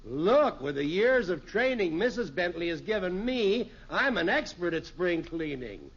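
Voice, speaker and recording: A man's voice: high-pitched, big pitch swings, a little slow, a bit bright, exaggerated voice. An actor playing a jovial, folksy middle-aged American businessman and dinner guest in a 1950s radio sitcom, speaking emphatically. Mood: hearty, insistent. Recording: dull vintage radio recording, audience noise, hiss.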